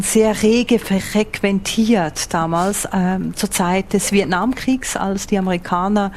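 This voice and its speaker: A woman's voice: medium pitch, a little mellow, slight background noise. A woman with a Swiss accent, a foreign correspondent giving vivid, explanatory answers in an interview and rephrasing as she goes. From Switzerland. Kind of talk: interview answers, descriptive reporting.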